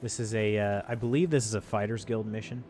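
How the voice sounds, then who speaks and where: A man's voice: lowish voice, fairly even intonation, fairly quick, a little mellow, soft background music. A congested man chatting loosely on a game livestream, rambling through his thoughts with plenty of fillers and restarts. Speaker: streamer. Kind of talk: casual livestream chat. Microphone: desk microphone. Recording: dry close sound.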